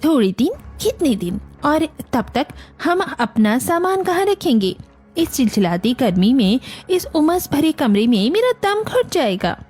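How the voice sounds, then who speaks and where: Woman doing a high-pitched, indignant young bride. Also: very animated, a little slow, darkish tone, soft background music. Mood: angry, defiant. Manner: complaining, entitled. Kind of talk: Hindi cartoon family story acting.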